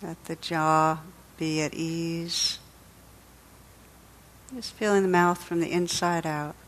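A woman leading a guided meditation as a teacher, speaking in a gentle, soothing, unhurried way with pauses. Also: sits low, fairly even intonation.

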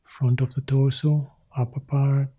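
A male monk guiding a meditation in soft, short phrases that often sink into a whisper. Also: mid-range voice, little pitch movement, a little slow, slightly rough. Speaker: Buddhist monk leading meditation. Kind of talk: guided meditation.

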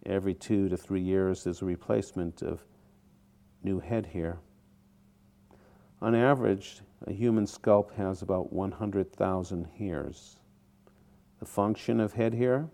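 A man teaching a guided meditation in short, deliberate phrases with pauses between them. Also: sits low, creaky, warm tone.

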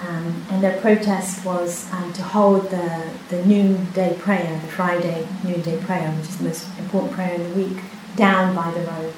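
A woman whose first language is Hebrew, a meditation teacher telling a story in a calm, unhurried way with many pauses. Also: fairly low pitch. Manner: soft-spoken, unhurried, with many pauses and restarts. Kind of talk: dharma talk with personal story. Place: retreat hall. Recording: echoey hall, faint hiss.

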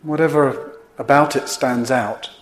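A man teaching a guided meditation in gently instructive, unhurried phrases with pauses between them. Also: medium pitch, fairly even intonation, a little hiss or hum. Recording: roomy, echoing indoor sound.

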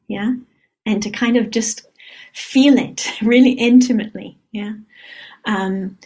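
Soft breathy woman leading meditation. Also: medium pitch. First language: Hebrew. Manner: soft, unhurried, often adds a questioning "yeah?". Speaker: meditation teacher. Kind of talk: guided dharma reflection. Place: meditation retreat hall.

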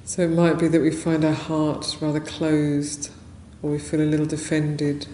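A woman leading a guided meditation as a teacher, speaking gently and unhurriedly in short phrases with long pauses. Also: low-pitched, completely flat delivery. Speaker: meditation teacher, Buddhist nun. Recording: roomy echo, faint background noise.

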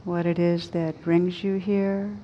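A woman leads a guided meditation in a low, very even voice. She gives slow, soothing instructions and leaves long pauses between phrases. The recording is dull and lo-fi. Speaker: meditation teacher. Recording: dull lo-fi recording with rumbling background noise.

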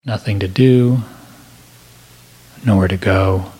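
A man leading a guided meditation as a teacher, speaking in a gentle, measured manner with long pauses. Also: sits low, fairly even intonation, husky.

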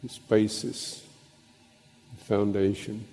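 A man teaching as a Buddhist monk, leading a guided meditation in a contemplative, halting way with pauses between short phrases. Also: on the low side, flat delivery, mellow. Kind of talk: guided meditation and Dhamma talk. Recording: long reverberant hall echo.

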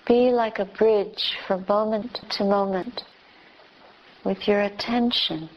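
A woman teacher leading a guided meditation with gentle instructions in short, spaced phrases. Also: medium pitch, steady tone, slow. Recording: dull, lo-fi recording.

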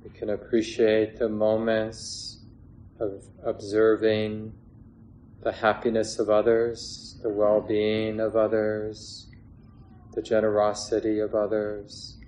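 A man leading a guided meditation as a teacher, speaking gently in short phrases with long pauses between them. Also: lowish voice, completely flat delivery, rough recording. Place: meditation hall with a gathered group. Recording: clear background noise, room ambience.

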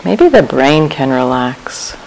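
A woman meditation teacher leading a guided meditation in a calm, measured way, with long pauses between short phrases. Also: rumbling low, slight background noise, poor audio.

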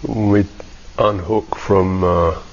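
A man teaching a guided meditation in a measured, halting way with long pauses, on a dull recording. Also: low-pitched, steady tone, slight background noise.

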